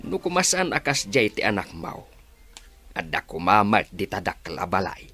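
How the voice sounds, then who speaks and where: Low, forceful, scolding man, acted out. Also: big pitch swings, moderate pace, faint music behind, full character voice. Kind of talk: Ilocano radio drama acting.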